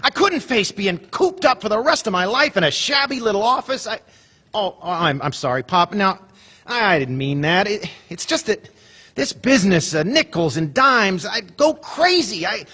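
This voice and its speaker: Casting: eager stammering young dreamer, a man acting it, high bright animated voice. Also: moderate pace, slightly rough, heavily acted. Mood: earnest. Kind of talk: live radio drama acting. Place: theater stage.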